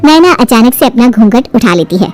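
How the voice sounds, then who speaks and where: A woman's voice: on the high side, lively intonation, brisk, darkish tone. A woman narrating a scripted folk story as the storyteller. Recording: music under the narration.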